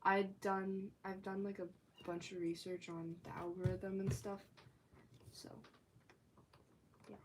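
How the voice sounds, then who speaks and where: A teenage girl chatting on her own livestream, rambling loosely with lots of "like" and now and then dropping into a soft whisper. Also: medium pitch, flat delivery, slow, pauses often, noisy background. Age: teens. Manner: rambling, chatty, filler-heavy talk with frequent "like". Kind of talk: casual livestream chat. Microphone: phone or tablet.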